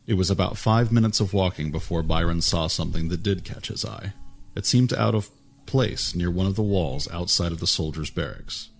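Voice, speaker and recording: A man reading a fantasy story aloud in a calm, even way, with a husky voice. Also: low-pitched, moderate pace. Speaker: narrator. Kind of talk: storybook narration.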